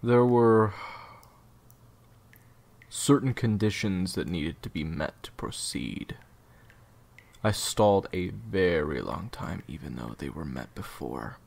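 An actor playing a guarded, short-tempered leader of assassins in an audio drama, terse and weary. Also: male voice, deep voice, lively intonation, slow pace, gravelly, long pauses, darkish tone, slightly rough, heavily acted. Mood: guarded, irritated.

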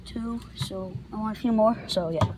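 A teenage boy vlogging outdoors in the woods on a handheld phone, chatty and excitable, cursing under his breath as he works. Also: high-pitched, normal speed. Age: teens. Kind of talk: casual outdoor vlog. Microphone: handheld phone camera. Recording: loud outdoor noise on phone.

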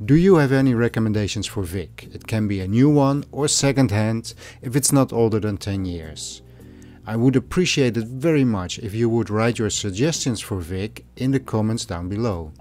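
A man's voice: medium pitch, a little mellow. Man narrating a channel voice-over. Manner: clear, orderly, addressing viewers directly. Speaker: channel host.

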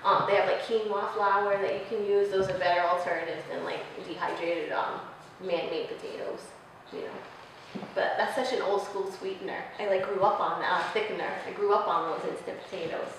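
A young woman chef giving a casual, animated cooking demonstration to a class, speaking informally with lots of "like". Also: medium pitch, fairly quick. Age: young adult. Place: large classroom, indoors. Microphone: distant camera microphone. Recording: echoey room, distant mic, noisy.

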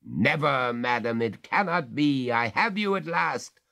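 A man's voice: medium pitch, expressive, measured pace, vocal fry, bright, forceful tone, voice pushed up, heavily acted. A man voicing a stern old master who speaks with firm, dry courtesy. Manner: firm, courteous, coldly ironic.

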